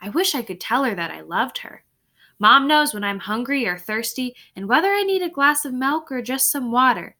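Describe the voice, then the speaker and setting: A woman's voice: on the high side, sharp tone. A woman teacher reading a story aloud expressively to her class, with instructive asides that check listeners are following. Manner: instructive, checks understanding with tag questions.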